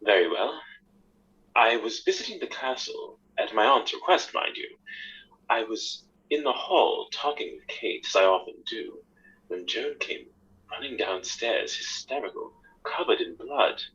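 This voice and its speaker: A man's voice: mid-range voice, animated, measured pace, a bit bright, breathy edge, lo-fi sound, heavily acted. A man playing a suave, caddish army captain who speaks formally and guardedly in an audio drama. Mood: smooth, guarded.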